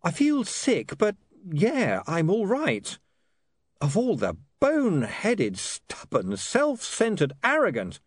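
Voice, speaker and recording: A man voicing a defiant young newspaperman with sharp, quick comebacks. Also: high-pitched, wildly swooping pitch, dry recording, clean studio sound, voice pushed up, heavily acted. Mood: rattled.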